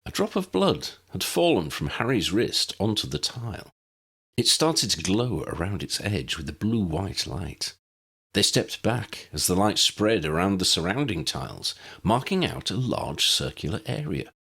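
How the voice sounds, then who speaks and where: A man's voice: low voice, very animated, a little slow, vocal fry, fairly bright tone, slightly rough. A man reading a story aloud as a scripted audiobook narration.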